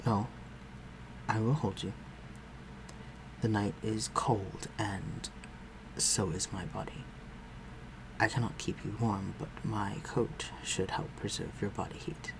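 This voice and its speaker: Man playing a low-voiced, courtly vampire lord. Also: level tone, slow. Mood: gentle, attentive. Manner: formal, old-fashioned, tender and reassuring. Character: courtly, protective male vampire lord, gentle with his human. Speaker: voice actor. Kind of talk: dramatic audio roleplay addressed to the listener. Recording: clearly noisy, ambient sound behind voice.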